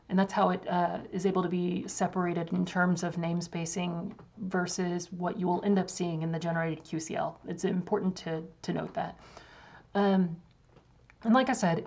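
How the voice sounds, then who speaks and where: A young woman with a voice going hoarse gives a casual, filler-heavy walkthrough of software on a screen share. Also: fairly low pitch. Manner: casual, loose, many fillers and restarts. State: losing her voice. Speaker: developer demoing software.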